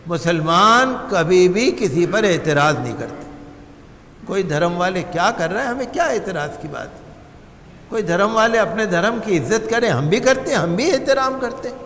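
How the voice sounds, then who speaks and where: A man's voice: sits high, a bit bright, slight background noise. A man preaching as an imam, in an impassioned, oratorical way full of repetition and rhetorical questions. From India. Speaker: imam giving a sermon. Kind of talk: impassioned sermon on public affairs. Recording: long hall reverb.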